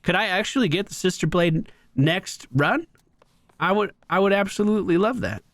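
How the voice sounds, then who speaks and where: A man hosting a gaming video with playful, excited, casual commentary, joking along with the game. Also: high-pitched, moderate pace, dry recording. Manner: playful, jokey, talks to the game.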